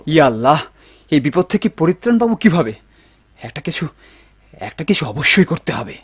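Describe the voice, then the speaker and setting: A man playing an anxious, excitable, inexperienced young son in a cartoon, full of questions. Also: on the high side, fairly quick, breathy edge, no room sound, studio quality. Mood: alarmed. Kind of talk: animated cartoon dub acting.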